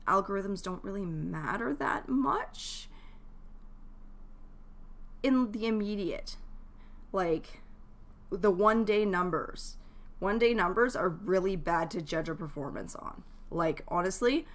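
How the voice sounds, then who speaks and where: Woman streamer chatting casually with advice. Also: mid-range voice.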